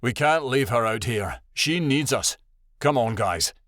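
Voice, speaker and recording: A man voicing a steady, commanding male animal leader in a storybook, firm and ordering. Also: mid-range voice, measured pace, no room sound, studio quality.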